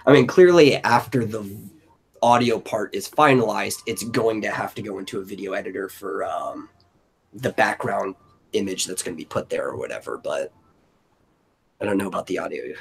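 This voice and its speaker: A man's voice: on the low side, moderate pace, gravelly, slightly rough, rough recording. A man in a casual brainstorming chat, thinking aloud in a rambling way as a co-director. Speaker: co-director planning an audio drama.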